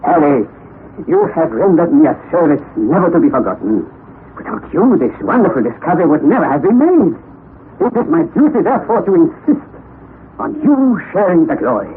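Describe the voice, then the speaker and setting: An eccentric old professor, fiery and domineering, his pitch leaping high and low. It comes through a thin, phone-like vintage radio broadcast with faint hiss. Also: a little fast. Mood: jubilant. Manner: theatrical, commanding, bursts of excitement. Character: excitable elderly male professor, eccentric and domineering. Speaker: actor in a radio drama. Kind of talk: 1960s radio drama acting.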